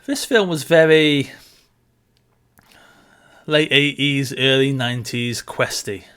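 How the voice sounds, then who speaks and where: Bright-voiced man chatting about films. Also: mid-range voice, slow, clear, resonant tone. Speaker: podcast co-host. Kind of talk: casual film discussion.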